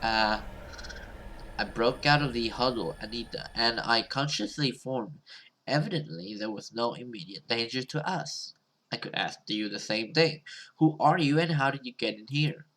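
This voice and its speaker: A man's voice: mid-range voice, normal speed, a bit bright, rough recording. A man doing casual, hesitant let's play commentary and reading a visual novel's story aloud, swearing now and then. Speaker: gaming YouTuber playing a visual novel. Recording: music playing behind the voice. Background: game music.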